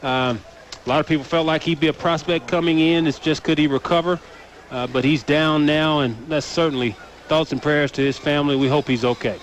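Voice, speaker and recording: A man's voice: fairly high pitch, a little fast. An American man giving football analysis as a radio color commentator, with outdoor noise behind him. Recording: noisy outdoor stadium radio broadcast. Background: steady outdoor ambient noise.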